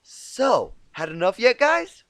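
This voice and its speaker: A man's voice: sits high, very animated, slow pace, dry recording, clean studio sound, voice pushed up, heavily acted. A man voicing a brash, impatient young female pegasus pony from a cartoon fan story, calling out tauntingly. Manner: loud, taunting calls.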